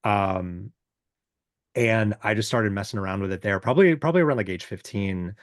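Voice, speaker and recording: A man with a low, booming voice speaks as a musician guest in a relaxed interview. His delivery is informal and halting, modest about himself as he thinks things through. The sound is dry and close. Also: dry recording. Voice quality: deep, booming voice. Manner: halting, self-deprecating, informal. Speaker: podcast guest, musician and self-producer. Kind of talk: casual, reflective interview chat.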